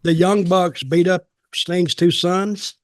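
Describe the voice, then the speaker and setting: Man in his seventies, somewhat high voice, dry close sound, casual podcast commentary, blunt, rambling, folksy. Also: flat delivery, slow, dry recording. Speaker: podcast co-host and wrestling storyteller. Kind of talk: casual chat, opinionated commentary. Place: small home office, video call.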